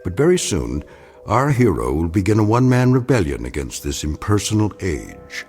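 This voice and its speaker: An actor as a grave, wry storyteller, giving measured, portentous narration for an eerie radio drama. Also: male voice, deep voice, sing-song, darkish tone. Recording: music behind the narration.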